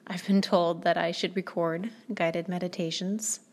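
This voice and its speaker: A woman with a soothing, slightly low voice talks alone into her podcast mic. She reflects on her life in a calm, thoughtful way, often stopping to collect her words. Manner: thoughtful, pausing often with ums. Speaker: podcast host. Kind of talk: reflective spoken monologue.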